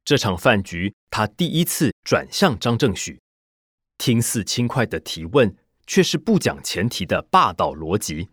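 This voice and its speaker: A man's voice: lowish voice, big pitch swings, measured pace, breathy edge, no room sound, clean studio sound. A man reading a novel aloud as a scripted audiobook narration.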